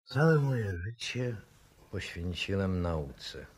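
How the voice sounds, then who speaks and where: Grave, husky male scientist. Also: deep voice, big pitch swings, full character voice. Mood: solemn, resolute. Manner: measured, solemn, courteous. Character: grave, resolute scientist disillusioned with his work. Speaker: radio drama actor. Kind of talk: 1960s radio drama acting.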